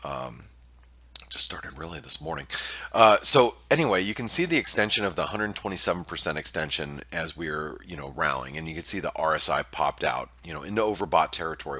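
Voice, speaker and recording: Deep-voiced male market analyst, nasal with allergies, bright edge, loose and halting delivery. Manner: hesitant, many fillers and restarts. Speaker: market analyst presenting weekly preview. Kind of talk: casual screen-share market commentary.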